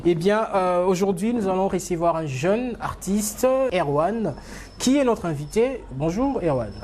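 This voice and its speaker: A man's voice: high-pitched, animated, unhurried, a little mellow. A man hosting a TV interview show, rambling with many fillers and restarts. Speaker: TV show host. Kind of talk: casual TV interview.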